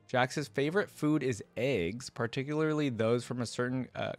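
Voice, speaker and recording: A man hosting a reaction video, reading lore aloud and thinking through it in casual commentary with rhetorical check-ins. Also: lowish voice. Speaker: reaction channel host.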